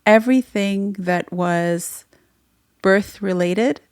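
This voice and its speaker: A woman's voice: medium pitch, takes it slowly, clear, resonant tone, no room sound, studio quality. A woman whose first language is Farsi, hosting her own podcast and telling her story in an earnest, reflective way. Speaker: podcast host and midwife. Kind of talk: solo podcast monologue.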